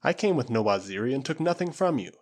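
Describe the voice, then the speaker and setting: A man reading a novel aloud, voicing a calm jungle lord in a firm, level, commanding way. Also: mid-range voice, fast talker.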